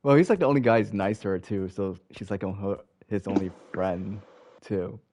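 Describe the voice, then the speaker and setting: A man's voice: fairly low pitch, normal speed, a little mellow, slight background noise. A man casually recapping a visual novel for viewers, talking in a laid-back, rambling way with lots of fillers. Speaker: let's play host. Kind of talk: casual game recap commentary.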